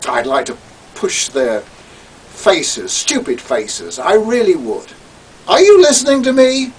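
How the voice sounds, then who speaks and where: A man voicing a shrill, exasperated camp man who complains with drawn-out stresses. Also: big pitch swings, recorded from a distance, a little hiss or hum.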